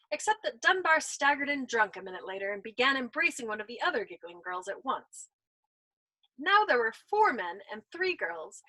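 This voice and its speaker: A woman reading a novel aloud as a storyteller, expressive, with small slips and self-corrections. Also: a little high, poor audio.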